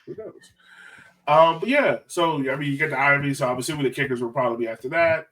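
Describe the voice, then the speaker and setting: A man with a fairly high voice hosts a sports fan podcast. He talks casually and slangily, with a joking streak, as if chatting among fellow supporters. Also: fast, fairly bright tone, far from the mic, low-quality recording. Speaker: podcast host.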